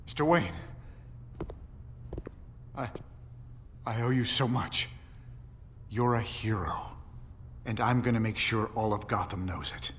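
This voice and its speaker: A humbled grateful man, lowish voice, slow hesitant delivery, earnest game acting, long reverb. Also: fairly bright tone. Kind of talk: dramatic video game voice acting. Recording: long hall reverb, faint noise.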